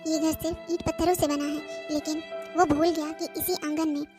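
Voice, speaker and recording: Cartoon mother woman actor: middle-aged widow, high voice, gentle, wounded, plaintive lament. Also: level tone, moderate pace, over music, close to an everyday voice. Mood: wistful.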